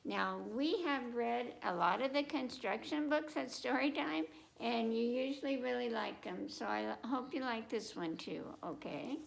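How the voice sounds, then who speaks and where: A woman's voice: on the high side, unhurried, creaky, hardly any pauses, low-quality recording. A woman presenting a children's storytime, reading picture books aloud and breaking off to speak directly to young listeners with simple questions and repetition.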